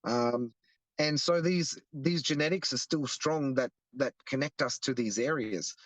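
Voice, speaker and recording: A man's voice: mid-range voice, a little slow, no room sound. A young Australian man explaining earnestly in a casual interview, often restarting his sentences. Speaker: guest, activist.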